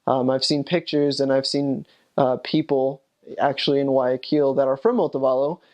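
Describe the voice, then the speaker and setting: A man's voice: medium pitch, fairly even intonation, moderate pace, darkish tone, lo-fi sound. A young man, a returned missionary, recalling his mission in a rambling, casual way with many fillers and restarts. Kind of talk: casual recollection.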